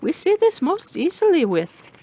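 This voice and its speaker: A woman's voice: very high voice, big pitch swings, normal speed, dark tone, dull audio, pitched above the performer's own voice. A woman voicing an intense female robotics professor who lectures her class in a didactic, emphatic way, as in an audiobook. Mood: instructive.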